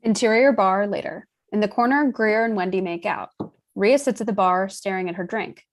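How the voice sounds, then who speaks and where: A woman narrates screenplay stage directions at a table read. Her voice sits at a medium pitch as she reads the scripted scene descriptions. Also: normal speed.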